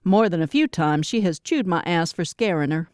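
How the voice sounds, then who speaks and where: Lively female audiobook narrator. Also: on the low side, moderate pace, clean tone, dry recording, studio quality. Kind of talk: scripted first-person fiction narration.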